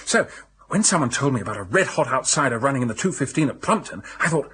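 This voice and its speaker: An actor playing a hard-up, lovelorn young English writer, hesitant, rueful and well-bred, in a radio drama. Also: male voice, mid-range voice, sing-song, fast, a bit bright, a little hiss or hum. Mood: rueful, frank. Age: young adult.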